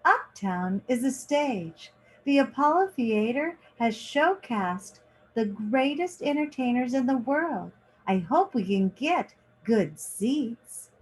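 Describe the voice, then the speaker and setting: Slow-paced woman reading a picture book. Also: fairly high pitch, animated, low-quality recording. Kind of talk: children's picture book read-aloud.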